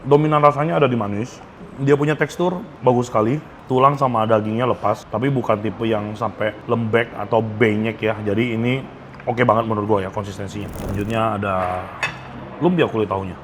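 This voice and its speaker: A man's voice: lowish voice, fairly even intonation, fairly quick. A man gives a casual, enthusiastic food review to camera, often stretching words for emphasis. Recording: noticeable background ambience noise.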